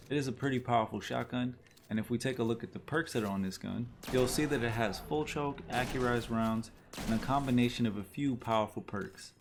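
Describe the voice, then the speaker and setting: A man's voice: medium pitch, a little hiss or hum. A man hosting a gaming video, giving casual, explanatory guide commentary straight to his viewers. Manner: explanatory, addresses viewers directly.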